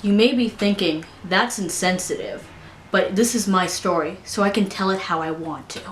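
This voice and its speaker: A woman's voice: medium pitch, normal speed, slight background noise. A young American woman talking earnestly to camera in a personal vlog, stumbling and restarting her sentences now and then. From United States. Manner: earnest, frequent fillers and restarts. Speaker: vlogger. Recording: echoey room.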